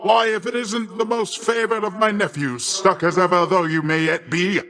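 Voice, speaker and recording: A boisterous, boastful sea god uncle. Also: male voice, sits high, fairly even intonation, normal speed, fairly bright tone. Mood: grand, genial. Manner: grandiose, jovial, theatrical delivery with archaic flourishes. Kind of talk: video game character voice lines.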